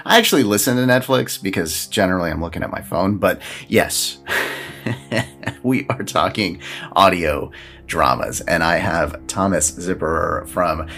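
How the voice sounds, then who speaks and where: A man's voice: sits low, measured pace, fairly bright tone. A man hosting a podcast, talking in a chatty, informal way and moving between casual conversation and sponsor reads. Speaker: podcast host. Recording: music behind the voice. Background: background music.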